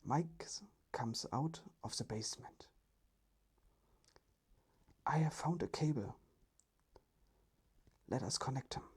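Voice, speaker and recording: A storyteller reading a children's story in a hushed, careful ASMR whisper. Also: mid-range voice, expressive, slow pace, long pauses, husky.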